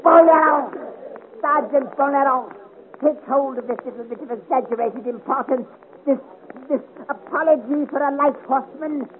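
An actor in a 1950s radio adventure serial playing a small, hot-tempered, pompous French artillery captain who explodes with indignation. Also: male voice, very high voice, normal speed. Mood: furious, blustering. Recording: noisy, tinny old radio recording.

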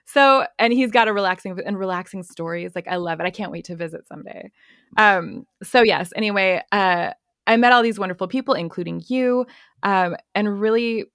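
A bubbly, energetic woman chatting as a podcast guest, laughing easily between her answers. Her voice is mid-pitched and relaxing to hear. The recording is dry and close, with little room sound. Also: fairly quick, no echo. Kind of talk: casual interview chat.

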